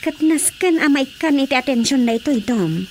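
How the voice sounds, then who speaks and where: High-pitched, earnest Ilocano village wife played by a woman. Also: lively intonation, normal speed, slight background noise. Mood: insistent. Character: earnest village wife, dutiful daughter, gently insistent. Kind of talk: Ilocano radio drama acting.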